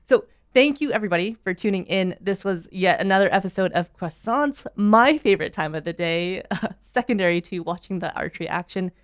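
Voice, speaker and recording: A woman's voice: mid-range voice, lively intonation, brisk, few pauses. Canadian woman hosting a casual chat show. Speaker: host of a daily sports show.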